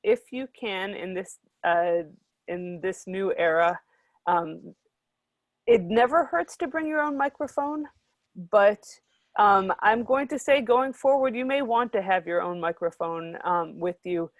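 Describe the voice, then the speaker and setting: A woman giving measured, hesitant advice on an online convention panel, with frequent fillers. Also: mid-range voice, no room sound.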